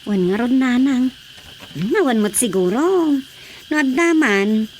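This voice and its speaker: A woman's voice: high voice, lively intonation, slow pace, a little mellow, a little hiss or hum. An actress playing an earnest young woman who speaks respectfully to her mother in a radio drama. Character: young woman, devoted daughter. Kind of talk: Ilocano radio drama acting.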